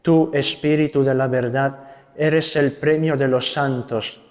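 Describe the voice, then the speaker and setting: A young man from Italy, a Carmelite friar with an Italian accent, giving a gentle spiritual talk and leading prayers. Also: medium pitch, steady tone, long reverb tail. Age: young adult. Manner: gentle, unhurried, adds tag questions.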